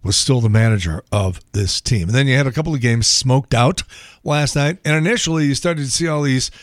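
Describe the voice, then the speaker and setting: Podcast host: American male, gravelly and thinning mid-range voice, wry casual monologue, dry close sound. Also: mid-range voice, a little fast, no echo. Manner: wry, colloquial, profane. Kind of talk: casual solo monologue on news and sport.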